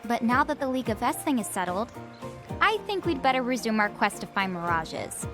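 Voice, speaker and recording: Teenage game heroine, level-headed, determined, high lively voice, music behind. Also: female voice, a little fast. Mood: resolute. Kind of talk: video game cutscene acting.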